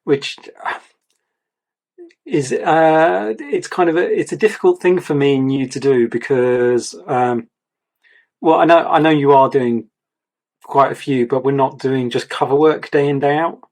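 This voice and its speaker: A man reviewing an artist's portfolio, talking in a rambling, repetitive way, his voice going a little croaky. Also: mid-range voice, unhurried, darkish tone. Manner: rambling, repeats words, gives advice. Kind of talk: casual mentoring chat.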